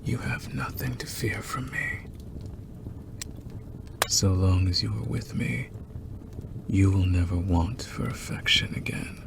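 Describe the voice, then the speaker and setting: A man playing a possessive, seductive and menacing werewolf lover in an intimate ASMR roleplay, teasing in a breathy, gravelly murmur. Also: low-pitched, takes it slowly, long pauses, background noise, exaggerated voice. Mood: menacing, tender.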